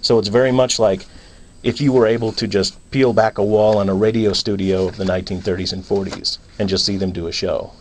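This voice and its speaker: Lowish-voiced man, casual radio guest. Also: steady tone, brisk, a little hiss or hum. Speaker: playwright, director and performer, interview guest.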